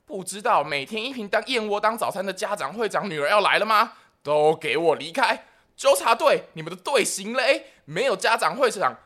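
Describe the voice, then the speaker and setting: A man's voice: sits high, animated, moderate pace, pushed and bright, voice pushed up, full character voice. A man voicing a bossy, nosy school disciplinary director with a Taiwanese accent in a comic radio play. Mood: frantic, barking. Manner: barking orders, suspicious, muttering asides.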